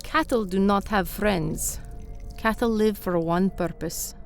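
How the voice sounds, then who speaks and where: A woman's voice: medium pitch, takes it slowly, vocal fry, loud music behind the voice. A woman playing a cold, commanding vampire crime boss in a radio play, speaking formally and with menace.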